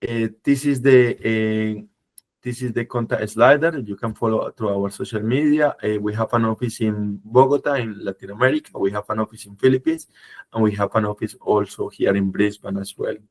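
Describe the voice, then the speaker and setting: Tired low-voiced guy giving a webinar over a call, keeps saying uh and right. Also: moderate pace. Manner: non-native phrasing, frequent "uh" and "right?". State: low, tired voice after travel. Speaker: company head presenting a webinar. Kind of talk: webinar presentation. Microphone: video call.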